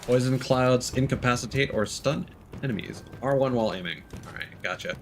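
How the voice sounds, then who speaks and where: A man's voice: medium pitch, brisk, slight background noise. A man streaming a video game, commenting casually and playfully, thinking aloud and reading out prompts. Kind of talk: casual live gameplay commentary.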